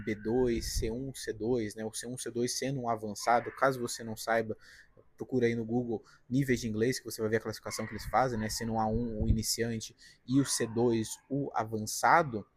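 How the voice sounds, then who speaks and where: A man's voice: mid-range voice, fairly even intonation, normal speed, slightly rough. A Brazilian man with a Paulista accent from the interior of São Paulo, giving casual, direct career advice in a vlog from his apartment balcony, often tagging his sentences with "né?" and "tá?". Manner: conversational, direct, frequent "né?" and "tá?" tags. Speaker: career vlogger, designer.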